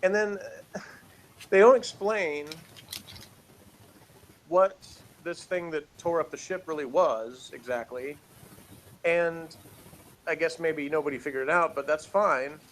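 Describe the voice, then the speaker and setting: A man's voice: a little high, slow, long pauses, slight background noise, low-quality recording. A man giving a casual, opinionated review as a podcast co-host, rambling and emphatic in an exasperated way.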